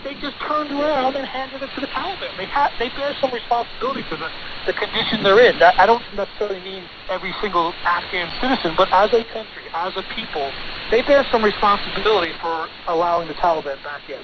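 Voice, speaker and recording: Male American lawyer as interview guest, fairly high voice, emphatic and argumentative, muffled radio sound. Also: quick pace. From United States. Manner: assertive, emphatic, insistent. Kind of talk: broadcast interview answers, argumentative. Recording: noisy, dull shortwave radio relay.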